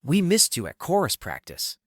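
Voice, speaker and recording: A man's voice: fairly high pitch, huge pitch swings, moderate pace, fairly bright tone, dry recording, clean studio sound, exaggerated voice. A man playing a playful teenage boy who teases his best friend with mock formality. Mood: teasing. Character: teasing, playful thirteen-ish boy, girl's best friend.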